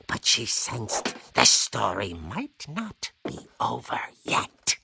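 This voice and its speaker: A man's voice: medium pitch, wildly swooping pitch, takes it slowly, creaky, noisy background. A man narrating a spooky comic-book tale in a theatrical, gleeful horror-host manner. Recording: loud music score behind.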